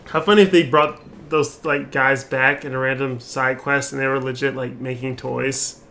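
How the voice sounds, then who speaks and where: A man's voice: medium pitch, steady tone, normal speed, creaky, sharp tone, a little hiss or hum. A man streaming a game with casual commentary, reacting aloud as he plays.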